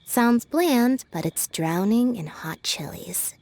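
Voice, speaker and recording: A fussy young girl from a video game, blunt and complaining. Her highish voice swings expressively, with clear noise and clicks behind it. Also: expressive, slow pace. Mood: grumbling. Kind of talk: video game cutscene dialogue.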